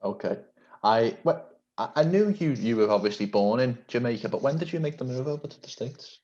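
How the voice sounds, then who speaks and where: A man's voice: medium pitch, lively intonation, fairly quick, dark tone, lo-fi sound. A man hosting a video-call interview, asking questions in a polite, formal and complimentary way. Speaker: interview host.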